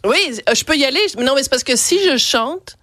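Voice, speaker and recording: A woman's voice: sits high, lively intonation, a little fast, fairly bright tone. Chatty Quebec radio host woman. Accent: Quebec French. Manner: chatty, playful, laughs often. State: has a cold. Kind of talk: lively radio interview.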